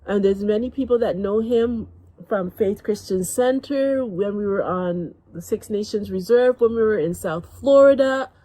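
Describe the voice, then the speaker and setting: A woman's voice: medium pitch, moderate pace, dark tone, no room sound. A woman born in Guyana hosting an interview with her father, asking long, rambling questions. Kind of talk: casual family interview.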